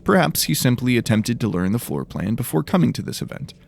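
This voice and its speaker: A man's voice: medium pitch, big pitch swings, a little fast, gravelly. A man reading scripted fantasy audiobook narration as a storyteller.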